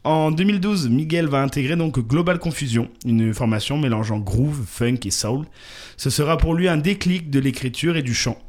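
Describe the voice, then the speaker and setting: A man's voice: on the high side, moderate pace. A man co-hosting a local radio show, casually presenting a musician's biography, often hesitating with fillers and joking about his own pronunciation. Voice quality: hesitant, many "euh" fillers and restarts. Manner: jokey, apologizes and laughs at his own pronunciation. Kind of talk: casual radio chat, reading an artist bio.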